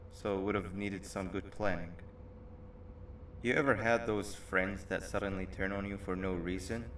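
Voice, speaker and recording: A man playing a weary, guarded former worker in a video game, speaking in a measured, understated and reflective way. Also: deep voice, a bit bright. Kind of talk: video game character dialogue. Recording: roomy, distant, noisy game ambience.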